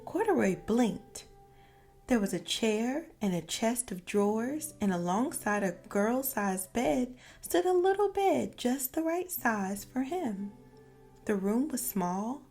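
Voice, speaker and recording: Slow, animated woman storybook narrator. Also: fairly high pitch, soft background music. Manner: deliberate, dramatic phrasing for young listeners. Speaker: children's read-aloud narrator and former teacher.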